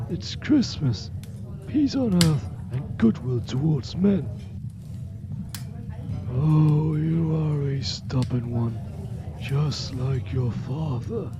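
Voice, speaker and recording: Man acting a jolly old godfather, swooping pitch. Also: muffled recording, noisy background. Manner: affectionate, teasing, fussing, theatrical. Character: kindly, jolly old godfather doting on a child. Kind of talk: scripted audio drama acting. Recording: music under the voice. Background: music.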